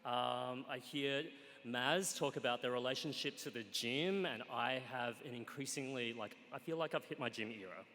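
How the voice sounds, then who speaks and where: A man with an Australian accent telling a comic personal story on stage, wry and playful, with deadpan punchlines. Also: mid-range voice, normal speed. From Australia, Sunshine Coast, Queensland. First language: Cantonese. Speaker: guest storyteller. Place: stage in a live event hall with an audience. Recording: reverberant live hall.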